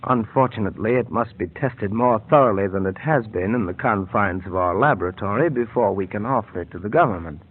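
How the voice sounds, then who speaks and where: An actor playing a scheming scientist who explains things in a measured, formal way, in 1930s radio style. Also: male voice, lowish voice, slightly rough. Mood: earnest, formal. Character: smooth scheming scientist posing as earnest inventor. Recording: old muffled radio broadcast.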